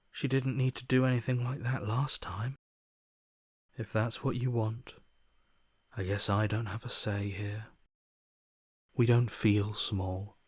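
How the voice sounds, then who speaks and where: A man's voice: medium pitch, husky, no room sound, studio quality. An actor voicing a defeated, fatalistic man in a video game, subdued and mournful, with breath at the ends of phrases. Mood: bitterly incredulous, then miserable, pleading. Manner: resigned, mournful, bitterly pessimistic. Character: despairing, defeated man, broken and fatalistic inner voice. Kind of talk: dramatic video game voice acting.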